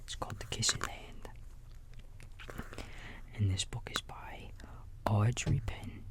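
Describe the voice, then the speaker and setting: A man playing a kindly raccoon babysitter in a bedtime ASMR roleplay, whispering softly and gently reassuring a small child in a folksy, halting way. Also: fairly low pitch, low-quality recording. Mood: soothing, playful. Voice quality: soft, breathy whisper. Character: kindly big-brotherly male raccoon babysitter.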